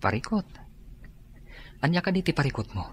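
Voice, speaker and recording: A man playing a calm, forgiving husband in a radio drama, giving counsel in a husky voice. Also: a little high, brisk, slight background noise.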